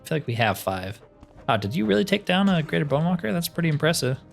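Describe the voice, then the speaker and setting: A man's voice: a little high, big pitch swings, fast talker, gravelly, soft background music. A man giving casual let's-play commentary, chatting and thinking aloud as he plays a fantasy game. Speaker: gaming YouTuber playing a fantasy roleplay game.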